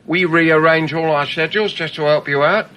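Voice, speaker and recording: An actor in a radio drama playing a cocky working-class driver, blunt, clipped and informal. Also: male voice, fairly high pitch, steady tone, moderate pace, bright, forceful tone. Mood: bluffing, impatient. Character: cocky working-class male getaway driver posing as plumber. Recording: noisy vehicle ambience behind voice.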